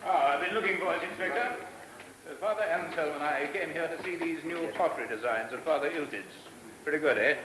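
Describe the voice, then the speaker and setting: A man's voice: fairly high pitch, brisk, natural voice. An actor in a radio play voicing a genial, authoritative father abbot. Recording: roomy, noisy old radio recording.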